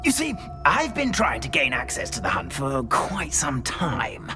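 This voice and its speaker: A man's voice: mid-range voice, huge pitch swings, measured pace, over music. A man playing a genteel, fussy nobleman ghost in a video game, with a British accent and a flustered, courteous, formal manner. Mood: hedging, reassuring. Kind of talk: video game character acting.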